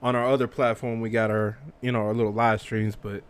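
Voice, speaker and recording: A man from Texas hosting a casual interview, relaxed and full of slang. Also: on the low side, a little fast, smooth. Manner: laid-back slang, street talk. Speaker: podcast host.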